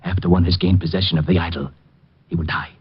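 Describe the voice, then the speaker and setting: An actor playing a frightened, paranoid heir, agitated, stammering and pleading, in old radio drama style. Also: male voice, sits low, steady tone, fast talker, breathy edge. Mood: ominous, intense. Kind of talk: 1940s radio drama acting. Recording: dull vintage radio recording.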